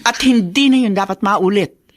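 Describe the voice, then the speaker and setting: A woman's voice: medium pitch, moderate pace, slight background noise, natural voice. An actress in a radio drama playing a bitter, meddling older mother-in-law who speaks with scornful, insinuating melodrama. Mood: urgent, accusing.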